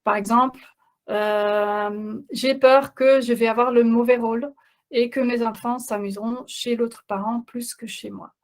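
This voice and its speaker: A woman's voice: fairly high pitch, fairly even intonation, measured pace. Hesitant woman coach, non-native French speaker. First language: not French. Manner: hesitant, many fillers and restarts. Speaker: parenting coach. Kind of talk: webinar presentation.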